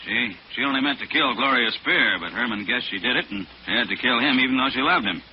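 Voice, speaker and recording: An actor playing a curious, plainspoken police lieutenant in 1940s radio style, colloquial and questioning. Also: male voice, medium pitch, quick pace, lightly acted. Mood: curious, impressed. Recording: muffled 1940s radio, faint noise.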